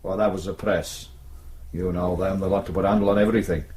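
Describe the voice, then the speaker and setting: A man's voice: sits low, fairly even intonation, fast, a little mellow, slight background noise, lightly acted. Matter-of-fact British police sergeant played by a man. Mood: firm, dismissive. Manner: matter-of-fact, patronising, colloquial. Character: brisk, reassuring, world-weary male police detective sergeant. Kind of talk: radio drama acting.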